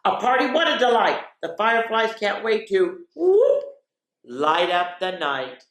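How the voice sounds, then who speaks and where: A woman reading aloud to children as a storyteller, expressive and playful, with chatty asides. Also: medium pitch, a little slow. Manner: expressive, playful, drops into whispers for refrains. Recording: roomy, echoey sound.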